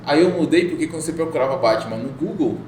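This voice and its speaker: A man's voice: on the high side, quick pace, over a noisy crowd. Middle-aged man telling his story, echoey room. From Bahia, Brazil. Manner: relaxed storytelling, laughs often. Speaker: guest, tattoo artist. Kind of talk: casual podcast storytelling.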